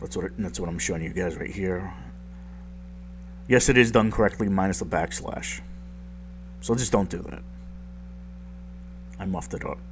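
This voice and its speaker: A man with a very low, groggy voice, like he just woke up, talks casually through a software tutorial. He rambles and pokes fun at his own mistakes. A faint hum sits under the recording. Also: normal speed, pauses often. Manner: rambling, self-deprecating, lots of ums. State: just woke up, deep morning voice. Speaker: tech tutorial youtuber.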